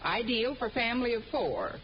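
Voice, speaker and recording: Wisecracking schoolteacher, very lively pitch. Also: female voice. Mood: wry. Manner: dry, sardonic, quick-witted. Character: wry, wisecracking adult female schoolteacher. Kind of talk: old-time radio comedy acting. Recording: muffled old radio broadcast, faint noise.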